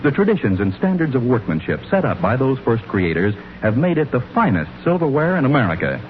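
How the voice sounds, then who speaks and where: A muffled old radio recording carries a male announcer of the 1940s. His mid-range voice rises and falls in lively sweeps. He reads scripted narration and sponsor copy in a polished, measured way. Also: medium pitch, slight background noise.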